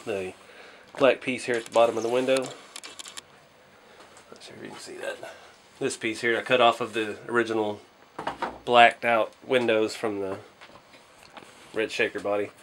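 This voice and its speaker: A man's voice: on the low side, fairly even intonation, normal speed, long pauses, a bit bright, a little hiss or hum. A man hosting a hobby build video, talking casually and thinking aloud as he works with his hands.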